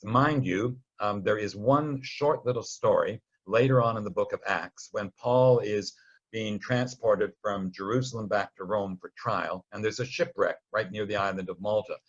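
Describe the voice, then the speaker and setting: Male Bible study teacher, lowish and slightly husky, conversational storyteller, a touch muffled recording. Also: moderate pace. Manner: conversational, wryly storytelling, acts out short quotes. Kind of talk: informal explanatory Bible lecture.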